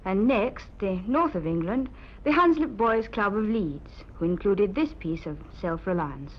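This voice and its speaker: Young woman hosting old 50s radio show, scripted and animated, muffled sound. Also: medium pitch, unhurried. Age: young adult. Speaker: radio presenter. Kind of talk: scripted 1950s radio presenting. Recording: muffled 1950s radio recording.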